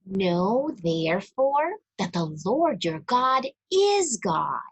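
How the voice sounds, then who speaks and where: Slow, expressive woman reading aloud to children. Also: mid-range voice, breathy edge. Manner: deliberate, expressive, addressing young listeners directly. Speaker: storybook reader for a church. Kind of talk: read-aloud children's religious story, teaching.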